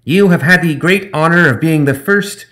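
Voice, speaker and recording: A man's voice: fairly high pitch, moderate pace, a bit bright, pitched above the performer's own voice, lightly acted. A man voicing Captain Zip, a pompous male gremlin sky pirate who makes grandiose, self-important proclamations. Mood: boastful.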